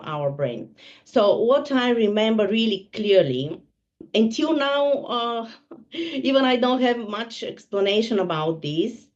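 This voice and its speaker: A woman from Bulgaria with a Bulgarian accent, telling her life story as an interview guest, halting and searching for words as she goes. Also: mid-range voice, darkish tone, highs cut off. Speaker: guest, holistic therapist.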